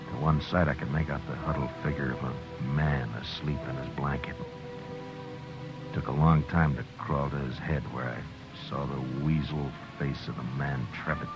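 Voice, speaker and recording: An actor playing a stern, terse frontier US marshal who narrates and talks gruffly, in 1950s radio drama. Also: male voice, a bass voice, muffled recording. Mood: narrating. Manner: curt, gruff, commanding. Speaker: narrator and lead.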